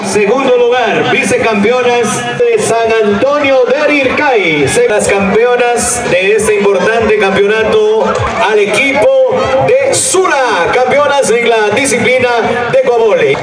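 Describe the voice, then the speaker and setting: A man announces winners as master of ceremonies, with a very high, emphatic voice. His delivery is slow and ceremonial. It comes from a distant PA outdoors over a loud crowd. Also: reverberant. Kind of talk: announcing, emcee calling out winners. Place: outdoor stage at a sports field.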